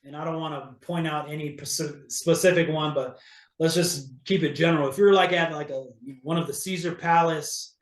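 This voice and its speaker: A man's voice: fairly high pitch, fairly even intonation, moderate pace. Rambling man in an echoey room. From Hawaii. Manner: rambling, many restarts and fillers. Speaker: guest, real estate agent. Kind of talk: casual interview talk.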